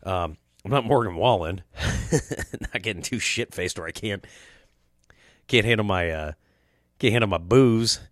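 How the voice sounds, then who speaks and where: A young man talks through a loose, profanity-laced story on his podcast, his throat scratchy from allergies. His voice sits fairly low. The room is dry, with no echo. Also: a little slow, long pauses. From Quad Cities area, US Midwest. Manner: profane, rambling, laid-back storytelling. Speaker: podcast host. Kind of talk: casual storytelling monologue.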